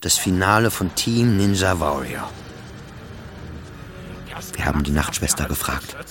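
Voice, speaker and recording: Radio drama narrator: depressed male psychiatric patient, anxious and confessional, deep voice, loud music behind. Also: lively intonation, measured pace. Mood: weary, dazed. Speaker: narrator of an autobiographical radio play. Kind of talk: scripted first-person radio drama narration.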